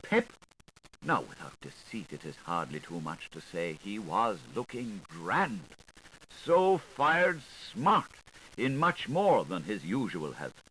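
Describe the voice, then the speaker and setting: A man reading a literary novel aloud as an audiobook, with a lilting, highly varied, expressive delivery. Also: slow, low-quality recording. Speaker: audiobook reader. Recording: faint tape hiss, 1980s recording.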